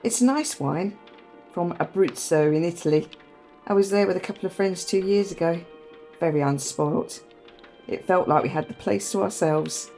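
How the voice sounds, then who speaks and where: An actress playing a wry, sharp, defiant woman in a naturalistic radio play. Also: fairly low pitch, normal speed, soft background music, lightly acted. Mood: sociable. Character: adult woman, wry, sharp, defiant, confessing to her partner. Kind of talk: naturalistic radio play acting, dialogue and monologue.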